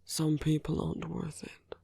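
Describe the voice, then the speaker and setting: A man playing a teasing yet tender villain in an intimate ASMR roleplay, speaking in hushed, unhurried phrases with creaky dips toward a whisper. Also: a little high, completely flat delivery, no room sound, exaggerated voice. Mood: tender, earnest. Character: smooth teasing male villain, tender toward his enemy.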